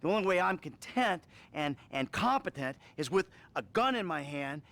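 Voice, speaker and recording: A man's voice: a little high, sing-song, normal speed, fairly bright tone. An actor playing a troubled middle-aged American criminal in a video game, rambling and profane as he confides in his therapist. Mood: defensive. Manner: profane, rambling, agitated, sighing. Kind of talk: acted video game cutscene dialogue.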